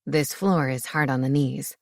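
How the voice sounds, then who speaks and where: A woman voicing an adult male shifter, a tender and teasing lover, in a lower, soft voice. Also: measured pace, creaky, no room sound, studio quality, heavily acted. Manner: low, soft, affectionate.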